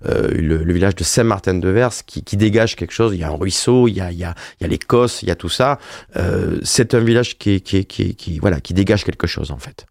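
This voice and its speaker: Hesitant low-voiced man in his fifties. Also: a little fast, vocal fry, a little mellow, no echo, clean studio sound. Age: 50s. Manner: hesitant, many fillers and restarts. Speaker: author and wine journalist. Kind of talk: radio interview.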